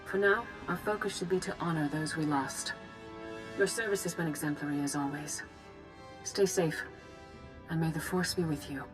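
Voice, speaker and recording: An actress playing a wise, composed leader of an order of knights in a video game, measured and formal. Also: female voice, low-pitched, over music, lightly acted. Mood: composed, solemn. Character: composed, wise female Jedi master and leader. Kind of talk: video game cutscene acting.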